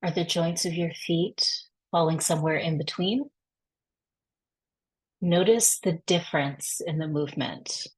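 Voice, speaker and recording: A woman leading a guided body scan meditation, giving gentle, reassuring, unhurried instructions. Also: sits low, breathy edge, low-quality recording. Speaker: guided practice facilitator.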